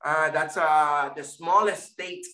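High-voiced man, rambling, in an echoey room. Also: fairly even intonation, takes it slowly, sharp tone. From Puerto Rico, raised in Florida. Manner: rambling, repeats and restarts often. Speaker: guest, First Amendment auditor. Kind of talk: casual interview chat. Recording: echoey room, distant mic.

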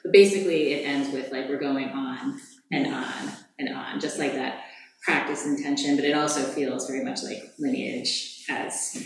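A woman's voice: rumbling low, fairly even intonation, normal speed, creaky. A woman student sharing in a group circle, rambling and searching for words. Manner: rambling and searching, says like often. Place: meditation hall. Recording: very echoey hall.

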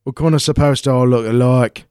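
A man's voice: medium pitch, steady tone, brisk, dark tone, smooth, no echo, natural voice. A man playing a cheeky, chatty peasant in a comic radio play. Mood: blunt, chatty. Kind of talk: 1940s-style radio play acting.

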